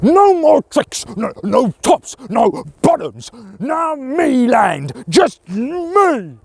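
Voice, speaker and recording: A man's voice: very high voice, big pitch swings, very slow, vocal fry, a little mellow, breathy edge, no echo, pitched above the performer's own voice, exaggerated voice. A man voicing a brutish, dim-witted, angry boggart from a storybook, blustering in broken grammar. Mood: menacing, boastful. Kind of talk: storybook character voice.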